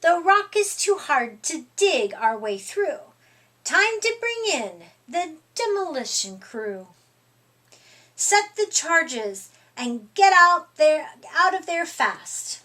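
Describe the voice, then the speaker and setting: A middle-aged woman reading a picture book aloud to young children in an expressive, deliberate, emphatic way. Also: extremely high pitch. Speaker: storytime reader. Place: small furnished room indoors.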